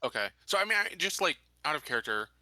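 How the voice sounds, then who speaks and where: A man talking casually as a player in a tabletop roleplaying game, thinking aloud in a deliberate, halting way. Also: fairly high pitch, very animated, bright, forceful tone, no room sound. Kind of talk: casual in-character and out-of-character game talk.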